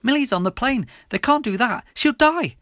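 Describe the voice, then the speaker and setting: A man's voice: medium pitch, animated, moderate pace, a bit bright, no room sound, clean studio sound, lightly acted. A man voicing a brave, urgent teenage boy in an audiobook. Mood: panicked. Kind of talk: audiobook character voice.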